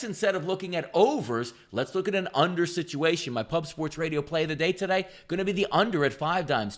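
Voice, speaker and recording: A man's voice: mid-range voice, fairly bright tone. A man hosting a sports betting show, giving game analysis with an emphatic, punchy sports-radio delivery.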